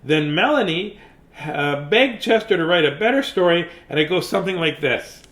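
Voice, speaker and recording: A man with a slightly high-pitched voice reads a children's storybook aloud. He is playful and informal, and he rambles off into chatty asides before returning to the page. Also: animated, normal speed, a bit bright. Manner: playful, rambling, often breaking off to comment. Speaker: children's story reader and host.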